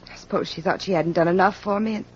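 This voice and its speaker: Husky, earnest woman. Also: lowish voice, fast. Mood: candid, reflective. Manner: earnest, explanatory, hesitant. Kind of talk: old-time American radio crime drama acting. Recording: dull lo-fi old radio recording.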